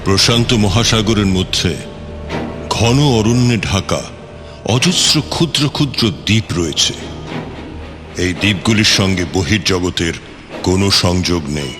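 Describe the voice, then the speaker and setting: A man's voice: low-pitched, measured pace, pauses often. A man narrating a radio play from a script in a dramatic storytelling style. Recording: long reverb, music bed.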